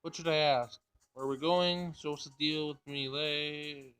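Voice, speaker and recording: A man's voice: a little high, steady tone, unhurried, fairly bright tone, clean tone, soft background music. A man casually reading a visual novel aloud, narration and dialogue, sometimes stumbling over the words.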